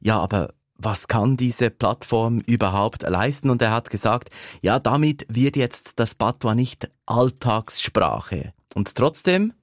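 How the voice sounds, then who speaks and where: A man's voice: mid-range voice, measured pace, no echo, studio quality. A man hosting a radio talk show, chatting with correspondents and asking them questions. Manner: conversational, asks guests questions. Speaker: radio host. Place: radio studio.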